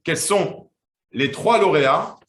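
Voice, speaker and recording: A man hosting a live quiz, lively and chatty, slowing down to build suspense. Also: a little high, echoey room. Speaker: quiz show host.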